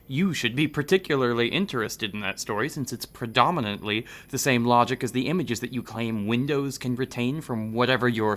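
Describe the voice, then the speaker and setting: A man's voice: mid-range voice, fairly bright tone. An actor playing an earnest, argumentative intellectual man obsessed with eerie legends in a radio horror drama.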